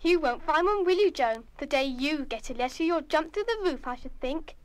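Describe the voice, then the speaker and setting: A woman's voice: sits high, animated, normal speed. A woman playing a catty, sneering schoolgirl in a radio play. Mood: mocking. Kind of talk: full-cast radio play acting.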